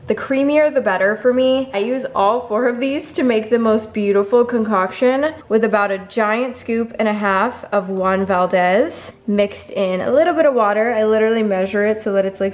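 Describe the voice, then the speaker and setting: A woman in her late twenties chatting casually and gushingly to camera in her apartment, recorded by a camera set close in front of her. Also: mid-range voice. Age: 20s. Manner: bubbly, gushing, talks straight to camera. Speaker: lifestyle vlogger. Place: apartment living room, indoors.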